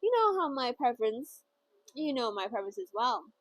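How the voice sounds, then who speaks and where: A woman's voice: sits high, big pitch swings, normal speed, dry recording, dull audio. A woman reading the lines of a cruel, scheming young man in a smug, sneering manner. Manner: smug, teasing.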